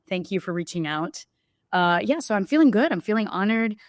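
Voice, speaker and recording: A woman from Brazil speaks as a podcast guest in a reflective, candid way. Her voice is mid-pitched. The recording is dry and close, with no echo. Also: animated, a little fast. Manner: reflective, open storytelling. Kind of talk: casual interview chat.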